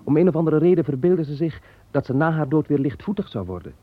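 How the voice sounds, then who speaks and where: An actor playing Seth, a young farmer and son of Adam, speaking earnestly and frankly in a radio drama. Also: male voice, on the high side, expressive, quick pace, dry recording. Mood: wry. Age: young adult. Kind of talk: 1960s Dutch radio drama. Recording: tinny narrow-band old radio recording.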